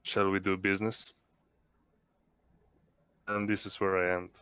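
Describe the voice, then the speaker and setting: A man takes his turn in a tabletop roleplaying game with halting, deliberate phrasing. His voice is fairly low and his pace is slow. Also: flat delivery, fairly bright tone, smooth, no room sound. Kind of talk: casual in-character roleplay.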